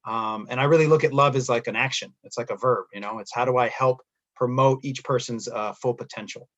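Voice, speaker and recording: A man's voice: mid-range voice, steady tone, brisk, a bit bright. Sincere man on dull lo-fi audio. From San Diego. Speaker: school principal, panel guest. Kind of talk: unscripted introduction.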